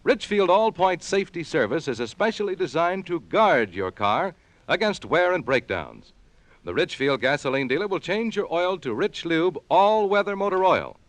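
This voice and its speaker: A man's voice: on the high side, sing-song, normal speed, no room sound. An American man reading a scripted vintage radio advertisement as an announcer.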